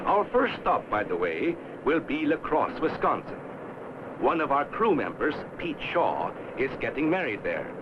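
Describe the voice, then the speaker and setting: A man playing a towboat crewman, narrating an educational film in a measured storytelling way. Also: mid-range voice, big pitch swings, background noise. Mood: easygoing storytelling. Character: towboat man narrating a river voyage to a boy. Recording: dull old film soundtrack. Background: music.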